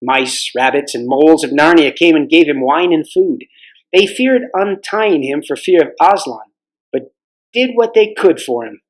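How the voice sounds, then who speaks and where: A man reading aloud as a volunteer storyteller, with a measured storybook narration style for families. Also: a little high, expressive, low-quality recording.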